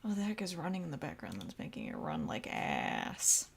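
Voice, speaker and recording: A woman's voice: very deep voice, huge pitch swings, normal speed, gravelly, slight background noise. A woman streaming a game, chatting casually in a giggly, self-deprecating way with some mild swearing. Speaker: streamer voicing a visual novel game. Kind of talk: casual livestream chat.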